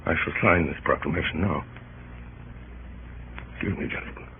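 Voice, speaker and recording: An actor playing a grave, resolute wartime American president in a solemn, measured, oratorical 1930s radio drama style, with a husky voice. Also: male voice, a bass voice, slight background noise. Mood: grave oratory. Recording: muffled, phone-like 1930s radio recording.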